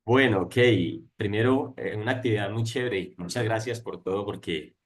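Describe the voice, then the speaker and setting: A man's voice: lowish voice, normal speed. A man teaching at a university, talking hesitantly and repeating words during an online class discussion.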